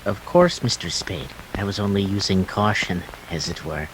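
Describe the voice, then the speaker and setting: An actor playing a polite, precise and slyly menacing criminal schemer in a radio drama, speaking formally and fussily. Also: male voice, sits low, slight background noise, close to an everyday voice, low-quality recording. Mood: coolly polite. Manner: formal, fussy, measured. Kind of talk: 1940s radio drama acting.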